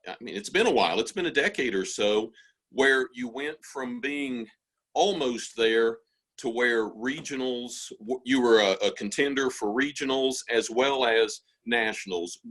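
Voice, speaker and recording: A man's voice: mid-range voice, measured pace, fairly bright tone, low-quality recording. Hesitant man interviewing on a video call. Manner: rambling, hesitant questions. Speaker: associate athletic director hosting the series.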